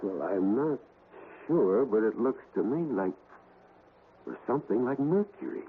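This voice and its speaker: A man playing a grave, determined ghost hunter in a deliberate, theatrical 1970s radio drama style. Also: medium pitch, animated, natural voice. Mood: intrigued. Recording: muffled, noisy old radio recording.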